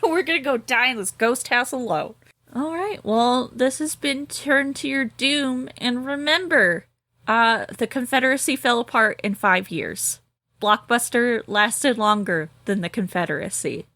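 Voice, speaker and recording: A woman's voice: fairly high pitch, unhurried, sharp tone, dry recording. Dry, giggly woman podcast host. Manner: laughs often, swears freely. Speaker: podcast co-host reading a gamebook aloud. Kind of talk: casual chat and reading aloud.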